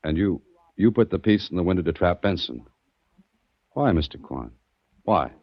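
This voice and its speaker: Deep-voiced, wisecracking radio sleuth. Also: male voice, expressive, normal speed, slightly rough, dry recording, full character voice. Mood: urgent. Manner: wisecracking, hard-boiled delivery. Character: wry, tough male amateur sleuth, brash and dogged. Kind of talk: 1940s radio detective acting and narration. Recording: muffled 1940s radio recording.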